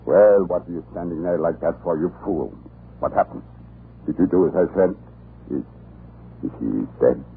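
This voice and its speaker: An actor playing a cruel, scheming Russian prison island commandant in a radio drama, pompous and insinuating in his deliberate delivery. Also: sits low, creaky. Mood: feigned pity, impatience. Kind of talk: 1940s radio drama acting. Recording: muffled, noisy old radio recording.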